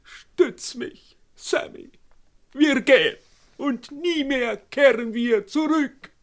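An actor playing a grandiose, easily wounded maharaja in a radio play, with theatrical lamenting. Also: male voice, very high voice, slow pace, full character voice. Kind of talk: German radio play acting.

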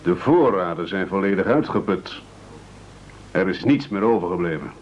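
An actor playing a formal, commanding castaway gentleman in an absurdist radio play, measured and over-polite. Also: low-pitched, lively intonation. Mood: grave, stern. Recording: dull lo-fi old radio recording.

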